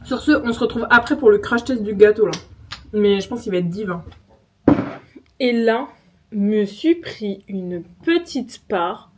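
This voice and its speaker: A woman's voice: mid-range voice, lively intonation, unhurried, darkish tone, slight background noise. A young woman from France in her twenties, chatting casually and enthusiastically to camera in a home vlog, with many fillers and restarts. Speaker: vlogger. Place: home kitchen.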